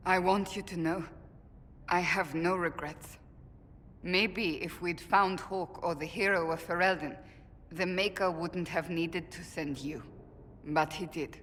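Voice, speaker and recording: An actress playing a stern, earnest warrior woman in a fantasy game, speaking gravely and with self-reproach. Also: fairly even intonation, takes it slowly, long pauses, fairly bright tone. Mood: solemn, sincere. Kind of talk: dramatic video game cutscene acting. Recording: long reverb, noisy rumbling background.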